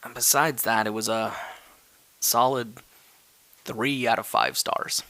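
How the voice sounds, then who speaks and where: A man's voice: mid-range voice, fairly even intonation, slow pace, pushed and bright, dry recording. A man playing a jaded, grudging theater critic with a dry, unimpressed manner in an audio drama. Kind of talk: scripted audio drama review banter.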